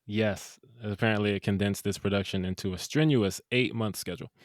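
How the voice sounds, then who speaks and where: A man co-hosting a casual movie review podcast, animated and jokey with quick asides. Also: on the low side, dry recording, clean studio sound. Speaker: podcast co-host.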